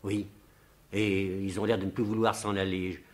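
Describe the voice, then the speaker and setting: A man acts a discreet manservant in an old-fashioned radio mystery. His voice sits a little low. He speaks formally and with great deference to his master. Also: flat delivery, moderate pace, close to an everyday voice. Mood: respectful, concerned. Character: deferential male household servant of a baron. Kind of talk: French radio mystery drama acting in 1950s manner.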